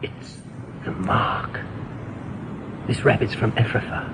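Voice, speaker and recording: A man's voice: fairly low pitch, steady tone, slow, gravelly. An actor in a radio play voicing an anxious, dutiful male rabbit sergeant. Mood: uneasy, grim. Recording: very noisy old radio play recording.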